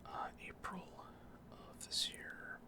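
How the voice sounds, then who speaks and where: A man speaks entirely in a soft, breathy whisper, as a gaming creator making ASMR. His delivery is casual and rambling, with a hesitant flow as he comments on his play. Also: slow pace.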